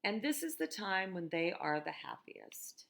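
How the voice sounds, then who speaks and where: American woman with a creaky, lowish voice. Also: measured pace. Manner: gentle, expressive, asks listeners questions. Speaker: teacher reading a picture book to children. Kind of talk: children's story read-aloud with conversational asides.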